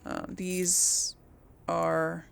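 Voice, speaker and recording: A woman's voice: on the low side, monotone, very slow, creaky, slight background noise. A woman giving casual, chatty gameplay commentary as host, musing aloud and often trailing off. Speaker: gaming video host.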